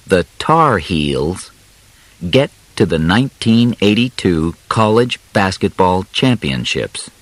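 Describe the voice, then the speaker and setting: A man with a low voice reads an audiobook in simple language. His delivery is slow and deliberate, phrase by phrase, with very animated, swooping intonation. The recording is dry and close, with no room sound. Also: no echo. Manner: deliberate, clearly separated short phrases. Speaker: audiobook narrator. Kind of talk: scripted storybook narration in simple graded language.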